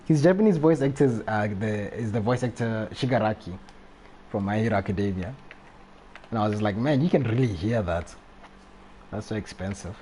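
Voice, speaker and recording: Male game streamer, young adult: soft dark tone, lowish pitch, casual slangy commentary, slight background noise. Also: lively intonation, fairly quick. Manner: slangy, reactive, chatty. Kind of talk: casual live gameplay commentary.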